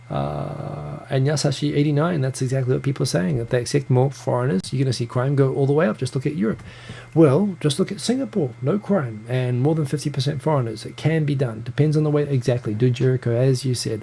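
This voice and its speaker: A man's voice: mid-range voice, creaky, warm tone, breathy edge, low-quality recording. A New Zealand man in his thirties hosting a casual live stream, reading out viewer comments with chatty restarts. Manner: chatty, stumbling restarts, greets viewers by name. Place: home room in Tokyo.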